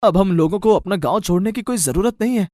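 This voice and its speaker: A man playing a wary, blunt village farmer who questions things, in an animated moral story. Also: high-pitched, fast, no room sound, studio quality. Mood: amazed.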